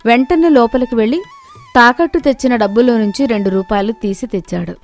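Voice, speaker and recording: A woman's voice: fairly high pitch, fairly quick, darkish tone, soft background music. A woman reading scripted narration for a moral story as its narrator.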